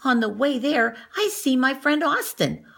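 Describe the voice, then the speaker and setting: A woman reads a picture book to young children in an expressive, teacherly way. Her pitch swoops with the story and her tone is bright, with a gravelly creak at times. She acts out moments and adds comments on the pictures. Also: unhurried.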